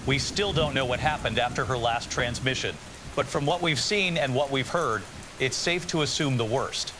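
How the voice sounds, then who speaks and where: A sober male newscaster played by an actor reads an emergency bulletin in an apocalyptic radio drama. His mid-range voice is measured, formal and grave. Clear hiss and rain run behind him. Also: mid-range voice, lightly acted. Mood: somber, urgent.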